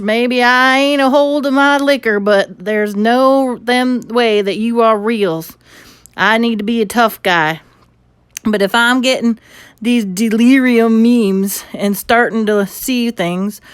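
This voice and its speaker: Woman doing a shouting Texan cowboy. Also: a little high, clean tone, dry recording. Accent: Texas. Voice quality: twangy drawl, slangy. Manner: shouting, belligerent. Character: tiny drunken cowboy man, furious and self-pitying.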